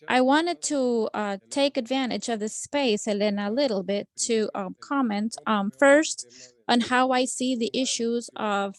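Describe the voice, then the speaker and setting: A woman giving a simultaneous interpretation into English over a video call, halting and pausing often with fillers. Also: on the high side, no echo. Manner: halting, with frequent um fillers and restarts.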